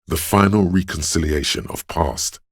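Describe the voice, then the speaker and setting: A man voicing a know-it-all inner voice of encyclopedic trivia in a video game, measured, pedantic and dryly wry. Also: low-pitched, dry recording, clean studio sound. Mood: measured, erudite.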